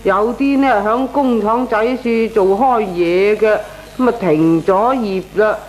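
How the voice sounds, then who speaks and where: Actress for thrifty middle-aged Cantonese landlady, mid-pitched, colloquial, self-justifying, comic radio drama. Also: measured pace, darkish tone, natural voice, poor audio. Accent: Hong Kong Cantonese. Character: frugal, chatty middle-aged landlady auntie.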